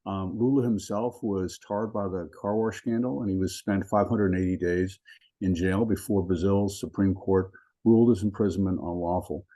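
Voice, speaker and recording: Male law professor hosting a webinar, low mellow voice, measured with ums, video call. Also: fairly even intonation, rough recording. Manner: measured, with frequent ums and restarts. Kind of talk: webinar introduction.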